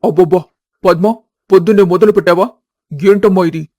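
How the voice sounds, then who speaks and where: A man's voice: high voice, steady tone, brisk, dark tone, dry recording, studio quality, lightly acted. A man voicing an exasperated grown son who chides and reassures his family in a village story. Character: exasperated adult sons keeping family peace.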